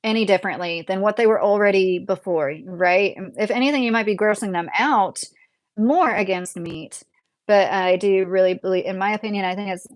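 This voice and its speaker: A woman's voice: medium pitch, no room sound. Midwestern American woman chatting casually. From Southern Indiana, USA. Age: late 30s. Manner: rambling, conversational, breaks into chuckles. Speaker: YouTube livestream host. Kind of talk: casual live chat, reading and answering viewer comments.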